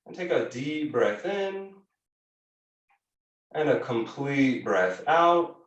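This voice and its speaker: A man teaching a guided yoga class, giving gentle, measured instructions with frequent pauses. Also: mid-range voice, very animated, dull audio. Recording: echoey room, distant microphone.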